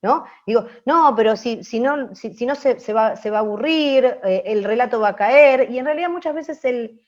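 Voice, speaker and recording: A woman's voice: a little high, expressive, moderate pace. A woman with a Rioplatense Argentine accent explaining as a radio teacher in an open class, often checking in with short tag questions. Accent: Rioplatense Argentine Spanish. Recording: dull lo-fi audio.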